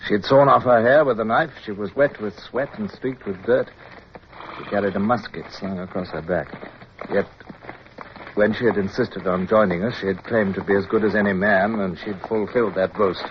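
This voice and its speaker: A low-pitched English naval officer, played by a male actor, formal and measured, weary but resolute. He tells his story as radio drama, on a dull, noisy old recording. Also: steady tone, natural voice. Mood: weary, reflective. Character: weary, dutiful British naval officer, resolute yet dispirited. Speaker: lead actor and narrator. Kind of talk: radio drama acting, first-person narration.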